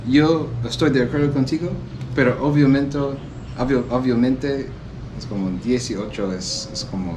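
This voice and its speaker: Male host, Spanish, fumbling, filler-heavy, noisy patio sound. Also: medium pitch. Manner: halting, searching for words, many fillers. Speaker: interviewer and channel host. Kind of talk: casual street interview. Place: outdoors on a patio. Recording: background noise.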